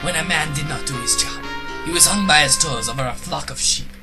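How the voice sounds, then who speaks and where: A man's voice: fairly high pitch, brisk, lightly acted. A man playing a boastful, threatening male rival reporter who claims a foreign homeland, over guitar music. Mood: menacing. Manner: threatening, theatrical.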